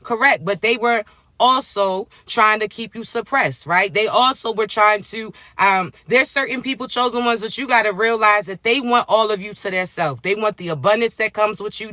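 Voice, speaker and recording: A man with a raspy, allergy-congested voice giving a live spiritual reading, addressing listeners directly and checking in with "all right?" often. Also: high-pitched, bright, forceful tone, no echo, studio quality. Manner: emphatic, repeats "all right?" and "right?" tags. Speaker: spiritual reader.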